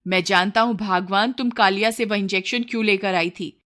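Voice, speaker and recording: A woman's voice: medium pitch, normal speed, a bit bright, natural voice. A woman voicing a poor, honest milkman husband in a cartoon story, earnest and given to reproachful questions.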